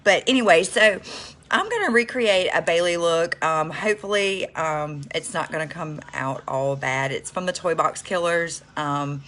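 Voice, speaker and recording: A woman's voice: lowish voice, lively intonation, moderate pace, a bit bright. Chatty woman with a cold. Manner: chatty, many ums, addresses viewers as y'all. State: stuffy from a cold, sniffling. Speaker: makeup livestreamer. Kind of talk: casual get-ready-with-me livestream.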